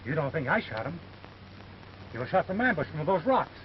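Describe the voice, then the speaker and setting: Defiant American cowboy, slightly high voice, 1930s western, noisy soundtrack. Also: male voice, very animated, fairly quick, highs cut off. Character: accused cowboy, defiant, level-headed man protesting his innocence. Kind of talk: 1930s western film acting.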